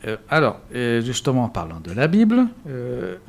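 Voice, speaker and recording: A man's voice: mid-range voice, huge pitch swings, normal speed, creaky. A man hosting a radio interview, asking his questions hesitantly with many fillers. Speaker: radio host.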